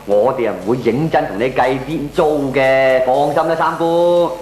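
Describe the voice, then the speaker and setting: An actor playing a cheeky, smooth-talking male tenant with a Hong Kong Cantonese accent in a comic radio drama. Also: mid-range voice, normal speed, a little mellow, echoey room, slight background noise, close to an everyday voice. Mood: placating. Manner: persuasive, placating.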